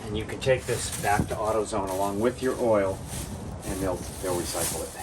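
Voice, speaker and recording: A man with a fairly low voice, congested and sniffly from a cold, gives a hands-on DIY tutorial. He speaks casually and plainly, explaining each step as he works. Clear background noise from the work surrounds his voice. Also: a little fast. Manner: plain-spoken, talking through each step. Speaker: DIY car repair host. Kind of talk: hands-on tutorial, casual talk while working.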